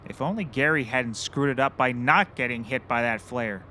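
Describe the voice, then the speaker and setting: An actor playing a hapless, self-important and childish private eye in a scripted comedy audio show, earnest, excitable and oblivious. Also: male voice, mid-range voice, normal speed, a little hiss or hum, natural voice. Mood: petulant.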